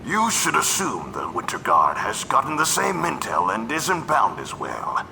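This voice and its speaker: A man voicing a threatening army general with a gravelly, fry-laden edge, swinging his pitch wildly from a growl to a sharp bark. The tone is bright and cutting, and there is a faint hiss behind him. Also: huge pitch swings, unhurried, exaggerated voice, low-quality recording. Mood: cold. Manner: threatening, barking. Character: menacing gruff male army general. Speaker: voice actor. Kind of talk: fan audio drama acting.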